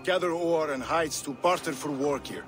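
An actor playing a nervous, eager middle-aged Norse outcast trader in a video game, jittery and fawning, repeating himself in clipped phrases. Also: male voice, a little high, moderate pace, over music, natural voice. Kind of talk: video game cutscene acting.

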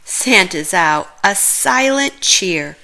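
Very slow, animated female storybook reader. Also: medium pitch, vocal fry, rough recording. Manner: expressive, drawn-out words and dramatic pauses. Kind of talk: read-aloud picture book narration.

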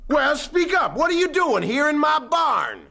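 A gruff, weary farmer in a radio drama. His voice is high for a man and crackles with creak, swinging wildly in pitch. He grumbles folksily over animal noise. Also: big pitch swings, unhurried, pushed and bright, exaggerated voice. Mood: indignant. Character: grumbling, tired adult male farmer, gruff.

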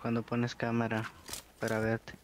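A man giving calm, brief callouts to his team over game voice chat. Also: mid-range voice, a little slow, background noise. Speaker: teammate on voice chat.

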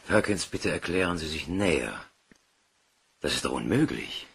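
An actor playing an authoritative, incredulous bank boss in a crime radio play, formal and measured. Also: male voice, a bass voice, expressive, gravelly, fairly bright tone, slight background noise, exaggerated voice.

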